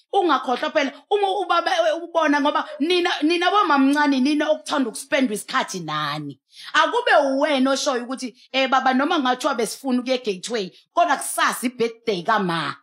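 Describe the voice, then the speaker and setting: A woman's voice: high-pitched, fairly bright tone. A South African woman speaking as a pastor on a studio talk show, emphatic and exhorting, mixing in English. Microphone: headset.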